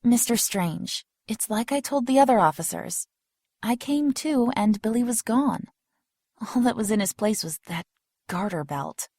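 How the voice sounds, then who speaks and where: A shaken witness in an audio drama. Her voice is a bit high and recorded close and dry. She speaks haltingly. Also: lively intonation, a little mellow, no room sound. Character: shaken female witness recounting a disappearance.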